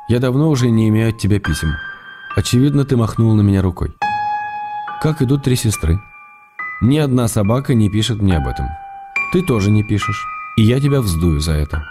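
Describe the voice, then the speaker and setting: An actor playing a middle-aged Russian writer, tender and wry, reading love letters aloud in a radio play. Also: male voice, on the low side, close to an everyday voice. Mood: teasing, wistful. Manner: affectionate, gently teasing, measured. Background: music.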